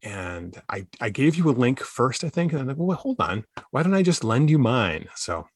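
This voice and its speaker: A man's voice: mid-range voice, sing-song, normal speed, husky. A man giving detailed, informal explanations in a casual roundtable chat. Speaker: podcast team member.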